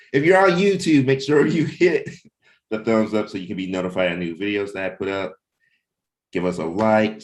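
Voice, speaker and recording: A man hosting a casual livestreamed team chat, speaking informally and a little hesitantly as he thinks aloud. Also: sits low. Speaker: host and comic creator leading a cast meeting.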